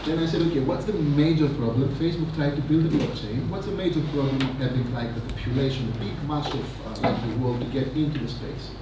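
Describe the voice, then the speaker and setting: A man giving a rambling, energetic conference talk on stage, full of fillers and asides. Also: mid-range voice, fast, few pauses. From Greece. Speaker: conference speaker. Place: large conference hall. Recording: echoey hall, mic from a distance, noisy.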